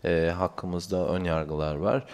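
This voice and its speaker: A man interpreting a panel talk consecutively, hesitant, with many drawn-out fillers. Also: low-pitched, fairly even intonation, a little mellow, clear, resonant tone. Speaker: interpreter and coordinator.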